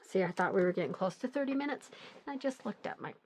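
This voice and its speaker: A middle-aged woman hosting a crafting channel, a little hoarse, chatting in a relaxed, rambling way while she works. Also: medium pitch, fast talker, slight background noise. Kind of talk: casual chat while crafting.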